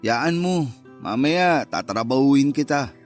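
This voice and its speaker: A man's voice: medium pitch, animated, slow, soft background music. A man playing the wistful, sulky lover of a married woman in a Tagalog radio love drama, casual and understated. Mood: teasing, tired.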